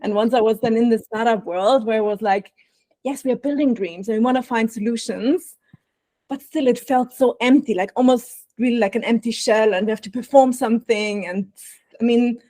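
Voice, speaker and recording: A woman's voice: fairly high pitch, moderate pace, warm tone, no room sound. A woman from Austria with an Austrian accent, a burnout prevention coach telling her story in a podcast interview, reflective and laughing often.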